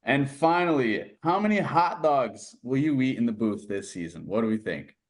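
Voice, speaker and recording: A man's voice: medium pitch, animated, normal speed. A man hosting a sports interview, asking questions in a conversational style.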